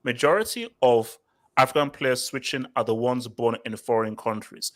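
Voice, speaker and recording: A man born in London and raised in Nigeria, hosting a casual football chat and reading out viewer comments, talking in an animated way and often repeating words. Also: mid-range voice, moderate pace. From born in London, grew up in Nigeria. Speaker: podcast host.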